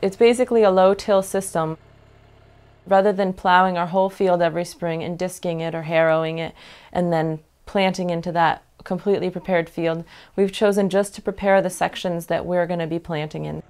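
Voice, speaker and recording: A woman's voice: lowish voice, steady tone, fairly quick, darkish tone, clean tone. A woman in her thirties, a farmer with an American accent, earnestly explaining her farm's methods in a documentary interview recorded indoors on a lapel mic. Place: living room with bookshelves, indoors.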